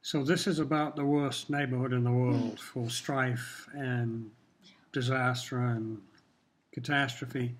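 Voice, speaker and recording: An older man from Kenya whose first language was Swahili, speaking in a measured, reflective way while answering questions in an interview. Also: medium pitch, steady tone. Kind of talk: casual interview talk.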